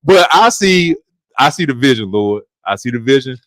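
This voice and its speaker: A deep-voiced man co-hosts a sports talk podcast, speaking earnestly and often repeating a phrase as he finds his words. His pitch is mid for a man, and the sound is dry and close. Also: big pitch swings, moderate pace, fairly bright tone, dry recording, low-quality recording. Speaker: podcast co-host. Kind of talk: casual podcast chat.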